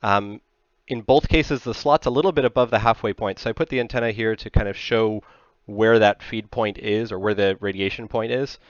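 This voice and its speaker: A man presents technical material in a fairly low voice. He explains step by step in a measured, conversational way. The sound is dry and close, with a slightly muffled, lo-fi top end. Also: fairly even intonation, no room sound. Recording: slightly dull, band-limited sound.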